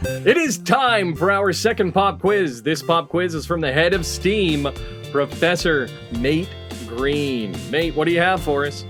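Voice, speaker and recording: Guy hosting a game podcast, slow and bouncy, guitar music under him. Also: on the high side, expressive, fairly bright tone. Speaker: game show host.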